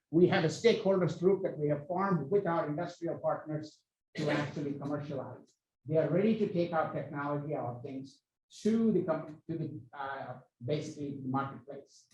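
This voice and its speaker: Man presenting in an echoey hall. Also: on the high side. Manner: speaks in halting, repeated phrases. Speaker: panel moderator and researcher. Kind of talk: academic panel presentation. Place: university hall. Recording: echoey hall, distant mic.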